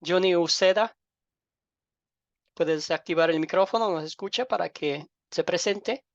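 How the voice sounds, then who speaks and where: A middle-aged man with an Ecuadorian accent, a pastor informally welcoming an online class in a halting way, repeating words and using fond diminutives. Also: sits high, creaky, no echo. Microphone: online group call microphone. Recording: dull lo-fi online call audio.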